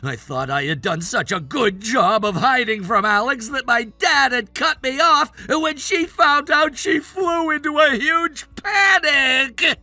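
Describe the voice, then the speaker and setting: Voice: high voice, sing-song, slow pace, creaky, pauses often, sharp tone, no room sound, full character voice. A performer voicing a spoiled, entitled young adult son in a melodramatic story, whiny and indignant. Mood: aggrieved. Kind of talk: melodramatic narrated story dialogue.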